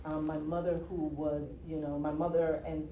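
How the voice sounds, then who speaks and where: A woman from the US Virgin Islands, a guest telling her story in a casual interview, expressive and quick to laugh. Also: low voice. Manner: expressive, laughs easily, repeats "yeah". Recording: roomy, from a distance, faint noise.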